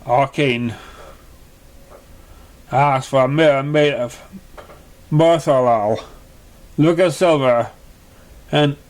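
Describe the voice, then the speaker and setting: A man narrating a fantasy fan story in an amateur read-aloud style, halting and stumbling over words. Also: fairly high pitch.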